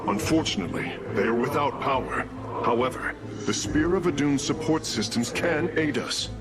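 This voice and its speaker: A man playing a learned, dutiful alien engineer in a video game cutscene, speaking formally with measured, precise diction. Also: mid-range voice, animated, natural voice. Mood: helpful, formal. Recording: game audio under loud music.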